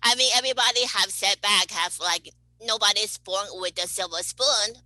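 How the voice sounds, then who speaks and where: A woman's voice: medium pitch, moderate pace, bright, forceful tone, dry recording, rough recording. A woman from Taiwan, a podcast guest answering questions cheerfully. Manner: cheerful, laughs between answers. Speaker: guest, cosmetic chemist.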